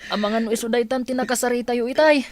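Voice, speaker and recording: A woman's voice: fairly high pitch, quick pace, slight background noise, lightly acted. A woman acting as an anxious female helper in a radio drama. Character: anxious female helper attending her madam.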